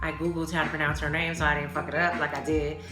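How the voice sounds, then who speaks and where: A woman's voice: low-pitched, flat delivery, quick pace. A woman hosting a casual solo podcast, speaking candidly and emphatically in slang, with frequent swearing. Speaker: podcast host. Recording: roomy echo, music behind the voice. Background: music.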